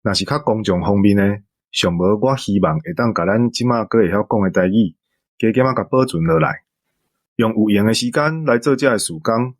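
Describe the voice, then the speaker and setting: A man with a Taiwanese accent talking calmly and reflectively in conversation. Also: on the low side, dry recording.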